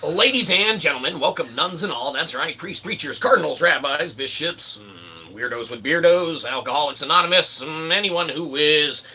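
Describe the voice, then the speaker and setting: Male comic web host: high-ish voice, big pitch swings, halting mock-grand monologue, noisy homemade audio. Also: lively intonation, pushed and bright. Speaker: host of a comedic internet show. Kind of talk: rambling tongue-in-cheek monologue.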